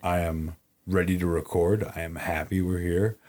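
A man's voice: sits low, flat delivery, normal speed, a little mellow. A man co-hosting a casual podcast, sleepy and dry, tossing in jokes. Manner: tired, dry, joking. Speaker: podcast co-host.

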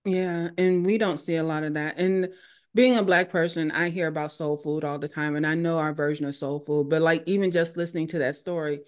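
A woman hosting a casual podcast chat, relaxed and conversational, steering the guest with questions. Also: sits low, fairly quick. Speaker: podcast host.

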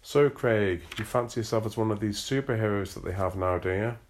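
Man doing a middle-aged northern father, Northern English accent, bluff, bossy, hearty, raised pitch. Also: fairly quick, lightly acted. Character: blunt, pushy middle-aged northern dad.

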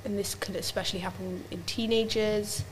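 A woman's voice: medium pitch, fairly even intonation, brisk, a little hiss or hum, rough recording. A woman co-hosting a casual podcast chat, explaining at length with plenty of "like"s. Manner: chatty, explains at length with many "like"s. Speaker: podcast co-host.

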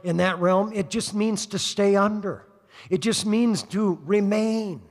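Older preacher man with a high voice. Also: measured pace, big-room sound. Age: 60s. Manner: preaching with frequent "praise God" interjections; rising to fervent exhortation. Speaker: pastor. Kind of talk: sermon. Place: church service.

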